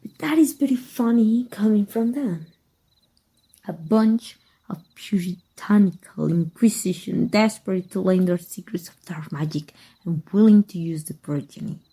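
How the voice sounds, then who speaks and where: A woman from Mexico voicing a scheming former magic teacher in an ASMR roleplay, speaking softly in a teasing half-whisper. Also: mid-range voice, measured pace, husky, low-quality recording. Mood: sly teasing. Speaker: voice actor.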